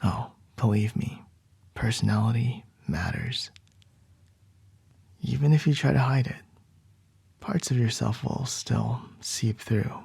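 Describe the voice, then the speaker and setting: A man voicing a commanding male CEO boss in an ASMR roleplay, speaking in soft, measured whispers. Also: mid-range voice, vocal fry, slightly rough, no room sound, exaggerated voice.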